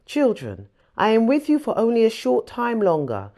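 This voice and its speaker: Expressive woman narrator with very lively pitch. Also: normal speed. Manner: expressive, acts out dialogue with emphasis. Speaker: scripture reader. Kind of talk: audiobook narration of Bible story.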